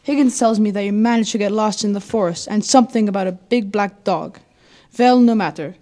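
A woman's voice: mid-range voice, fairly quick, warm tone, natural voice. A woman playing a courtly, sinister male vampire count who speaks with grand, welcoming formality. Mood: grand welcome.

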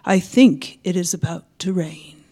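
A woman playing a huge, solemn male butler of a spooky castle, formal, deferential and unhurried. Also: fairly low pitch, dark tone.

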